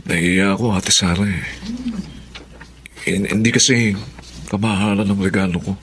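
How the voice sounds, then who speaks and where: A man's voice: low voice, steady tone, moderate pace, a bit bright, slight background noise. An actor playing a meek young writer, a son who answers his family hesitantly and apologetically. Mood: subdued. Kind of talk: audio drama acting.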